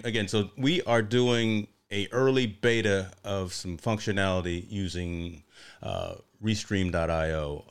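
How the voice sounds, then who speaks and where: A man's voice: sits low, clear, resonant tone. A man hosting a casual live interview show in a chatty way, often repeating phrases for emphasis.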